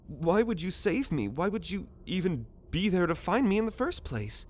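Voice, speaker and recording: A man playing a jittery, earnest young alien captive, stammering and excitable, in an ASMR roleplay audio drama. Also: high-pitched, normal speed. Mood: bewildered, relieved.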